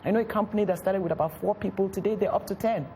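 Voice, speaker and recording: A woman's voice: mid-range voice, very fast, dark tone, a little hiss or hum. A woman speaking as a studio guest on a talk show, making an earnest, persuasive case.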